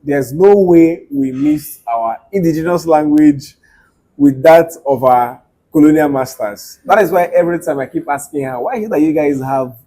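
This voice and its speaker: A man's voice: fairly high pitch, lively intonation, normal speed, dark tone, low-quality recording. A young man from Nigeria hosting a casual chat, asking curious, teasing questions. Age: young adult. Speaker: host.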